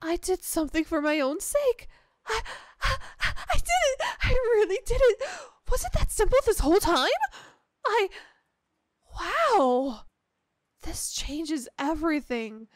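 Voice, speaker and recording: Woman doing a super high voiced yandere gf for asmr, slow n hesitant, clingy and devoted. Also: very animated, no echo, studio quality, exaggerated voice. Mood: amazed, grateful. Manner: gushing, hesitant, breaking off and restarting. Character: clingy, devoted, insecure yandere young girlfriend. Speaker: ASMR roleplay voice actor. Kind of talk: ASMR girlfriend roleplay, scripted acting.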